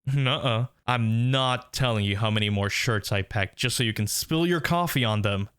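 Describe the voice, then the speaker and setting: A man's voice: mid-range voice, normal speed, fairly bright tone, clear, resonant tone, no echo, clean studio sound, close to an everyday voice. A man playing a smitten, teasing workplace rival turned lover in an intimate romantic audio roleplay, murmuring tenderly and breathily. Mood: seductive, teasing.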